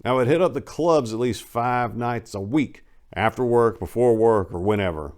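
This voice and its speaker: A man's voice: medium pitch, moderate pace, darkish tone. A man narrating a first-person story in a dramatic audiobook reading, with a blunt, streetwise, conversational manner.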